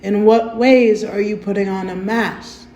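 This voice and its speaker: A woman leading a guided meditation in a gentle, soothing, unhurried way, softly inviting the listener to breathe. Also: mid-range voice, steady tone, slight background noise. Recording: roomy echo with faint music.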